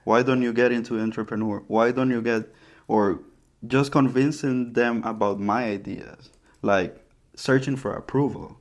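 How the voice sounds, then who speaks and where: A man's voice: medium pitch, steady tone, a little slow. A man from Honduras with a Honduran Spanish accent, whose first language is Spanish, co-hosting a podcast in casual chat, often stopping to restart phrases and search for words. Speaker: podcast co-host.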